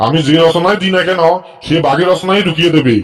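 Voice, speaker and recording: A man's voice: on the high side, quick pace, soft background music, close to an everyday voice. A man voicing an exasperated, sarcastic cartoon schoolteacher with a scolding, scheming manner. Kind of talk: comic cartoon dialogue.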